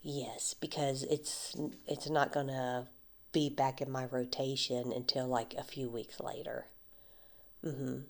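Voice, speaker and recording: A woman speaks in a deep, leisurely voice in an ASMR roleplay, acting as a friendly flea market seller. She keeps her words soft and unhurried, drifting into whispers. Light laughs come up often between her lines. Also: flat delivery. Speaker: ASMR creator playing a flea market vendor.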